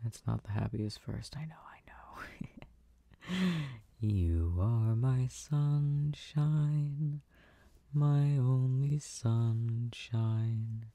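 A man performing gentle, intimate boyfriend ASMR in a soft, soothing, hushed way, close to the microphone. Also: mid-range voice, extremely slow, no room sound. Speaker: comfort audio performer playing a boyfriend. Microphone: close desk microphone.

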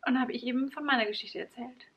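A woman's voice: fairly high pitch, steady tone, racing, breathy edge, highs cut off. A young woman from Franconia with a light Franconian accent, earnestly telling a personal story to camera in a small room. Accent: light Franconian German. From Franconia, Germany. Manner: warm, earnest, stresses words by repeating them. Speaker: vlogger and occupational therapist. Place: small room in front of bookshelves, indoors. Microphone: camera mic, close framing.

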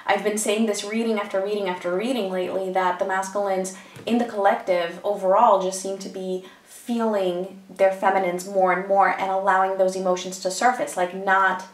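A woman's voice: medium pitch, little pitch movement, normal speed, recorded from a distance. A woman with a stuffy cold giving a chatty, meandering tarot reading full of ums, in a room at a table. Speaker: tarot reader. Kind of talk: casual tarot reading to camera. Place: indoors at a table, room with echo. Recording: echoey room, mic set back.

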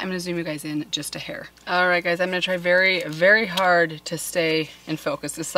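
Thirties female beauty vlogger, slightly low voice roughened by a cold, casual and enthusiastic. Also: a little hiss or hum. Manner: chatty, enthusiastic, drops to soft murmurs when reacting. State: has a cold, voice deeper than usual. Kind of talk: casual makeup review to camera. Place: small indoor filming set with plants.

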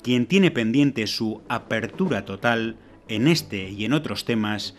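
A man reading a scripted news commentary in a measured, declarative way. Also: medium pitch, expressive, faint music behind. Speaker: news narrator.

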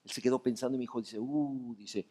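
A man's voice: mid-range voice, steady tone, fast talker, background noise. A middle-aged Mexican man on a theater stage, telling long, flowing anecdotes in an onstage conversation. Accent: Mexican Spanish. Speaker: festival panelist, writer. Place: dark theater stage, seated in armchairs.

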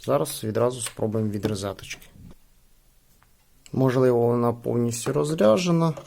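Creaky-voiced man doing a casual gadget review. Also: medium pitch, normal speed, mellow, a little hiss or hum. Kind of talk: casual hands-on product review.

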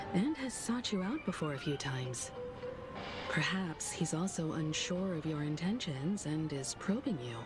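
Low voiced game woman, calm strategist, careful and thoughtful, music behind. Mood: pensive, cautious. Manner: deliberate, articulate, thoughtful. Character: composed, analytical adult woman, cautious strategist. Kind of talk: video game voice acting, measured dialogue. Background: game background music.

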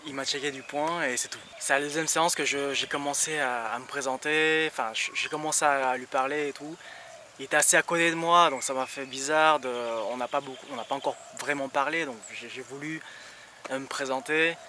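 A young man explains things in a casual vlog style. His mid-pitched voice moves haltingly as he searches for words. He sounds some way from the microphone, with loud noise around him. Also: fairly even intonation, recorded from a distance. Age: young adult. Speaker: vlogger.

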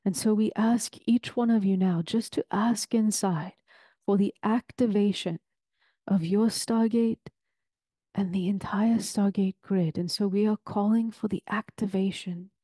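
A woman leading a guided meditation in a soothing, deliberate, ceremonial way, with long pauses between phrases. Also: medium pitch, fairly even intonation, mellow, no echo, clean studio sound.

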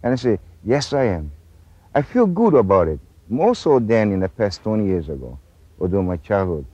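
A middle-aged man from Hawaii speaks in a low, mellow voice with a lively rise and fall. His talk is slow and earnest, with pauses between thoughts. The sound is dry and dull, like old lo-fi video tape. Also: no echo. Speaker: interviewee. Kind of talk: reflective documentary interview. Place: outdoors among trees and rocks.

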